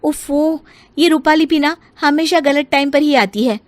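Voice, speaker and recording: Young wife for a storybook tale, voiced by a woman: high, expressive, sweet on top, mischievous underneath. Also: normal speed, no echo, close to an everyday voice. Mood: grumbling. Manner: expressive, musing aloud. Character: sly young daughter-in-law, sweet-spoken, mischievous.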